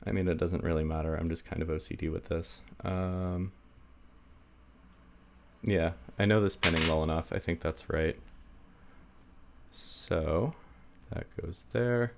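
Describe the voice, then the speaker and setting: Slow, low-voiced man, slightly stuffy. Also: gravelly. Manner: rambling, self-deprecating, many fillers. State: stuffy, getting sick. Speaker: hobby lockpicker demonstrating. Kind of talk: casual hands-on tutorial. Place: indoors at a table.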